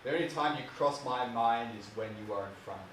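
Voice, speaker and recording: Male actor as bitter, wounded adult son: brooding dramatic monologue, halting and emotional, echoey, away from the mic. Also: medium pitch, natural voice. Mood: cold. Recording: distant mic, echoey room, faint hiss.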